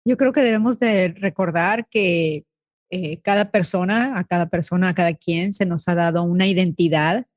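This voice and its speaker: A woman co-hosting a radio panel, talking thoughtfully about faith with many pauses and fillers. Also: lowish voice, darkish tone, no room sound. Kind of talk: reflective faith discussion.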